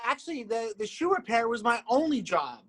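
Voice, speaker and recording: A man's voice: extremely high pitch, fairly quick, a crowd in the background. Guest, man, 50s, laid-back and joking, on a video call. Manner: relaxed, joking. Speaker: guest musician. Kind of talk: casual interview.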